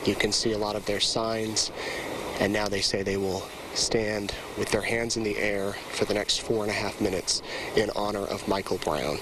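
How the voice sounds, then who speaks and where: A man's voice: on the low side, fairly even intonation, moderate pace. A man reporting live as a TV news reporter from outdoors in a city. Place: outdoors on a city street among a protest crowd. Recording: loud outdoor street and vehicle noise.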